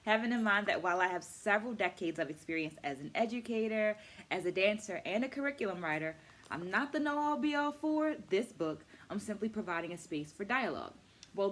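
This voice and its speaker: Lower-voiced middle-aged woman explaining book takeaways. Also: a bit bright. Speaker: host of a book-takeaway video series. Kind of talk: conversational book review to camera.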